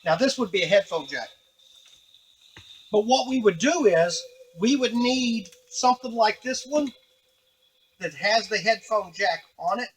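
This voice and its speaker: A man's voice: high voice, expressive, a little slow, pauses often, husky, rough recording. A man giving a casual, unscripted tutorial on a device, explaining step by step to the viewer as he works. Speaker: device demonstrator.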